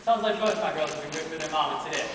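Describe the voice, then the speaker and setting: A man's voice: fairly high pitch, very fast, natural voice. A young man acting an affectionate, protective father in an amateur stage play. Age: young adult. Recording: echoey hall from far off, noisy.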